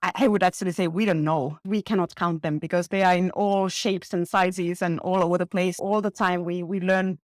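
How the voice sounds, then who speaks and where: A woman's voice: lowish voice, steady tone, no room sound. Enthusiastic woman, dry sound. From northern Finland. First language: Finnish. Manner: enthusiastic, laughs often, halting restarts. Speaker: guest parasitologist. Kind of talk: casual podcast chat.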